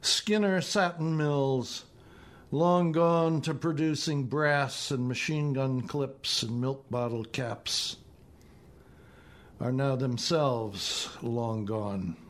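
A man in his seventies with an American accent, reading his own poetry and prose in a deliberate, measured way. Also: mid-range voice. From northeastern United States. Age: 70s. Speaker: poet reading own work.

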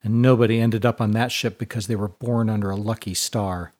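A man's voice: on the low side, fairly even intonation, brisk, darkish tone, natural voice. A man voicing a gloomy, proud, guarded young man in a storybook, with a terse, dry manner.

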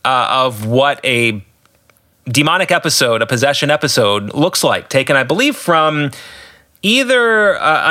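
A man's voice: mid-range voice, sharp tone. A man giving solo podcast commentary as an author and host, earnest and explanatory. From United States.

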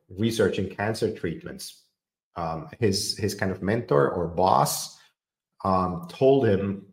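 A man with a low, deep voice chats casually about tech, stumbling over his words and filling gaps with ums. His voice rings around a roomy, echoey space. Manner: hesitant, many fillers and repeated words. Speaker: podcast host. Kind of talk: casual technical discussion. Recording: echoey room.

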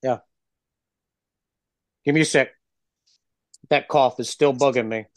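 A man's voice: medium pitch, steady tone, measured pace, no room sound. A male podcast host with a stuffy, froggy voice from cold medicine, asking questions in an easygoing, conversational way. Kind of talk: casual interview chat.

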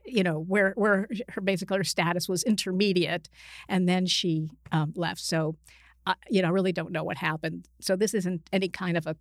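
Low-pitched older woman, reflective and halting. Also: no echo, studio quality. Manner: halting, reflective, often qualifying herself mid-sentence. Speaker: guest, psychiatrist and author. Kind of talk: conversational interview, recounting clinical experience.

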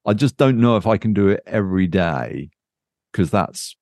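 Bloke just chatting on a podcast, lowish voice, really dry close sound. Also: male voice, animated, moderate pace, a little mellow, no echo. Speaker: podcast co-host. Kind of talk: casual unscripted chat.

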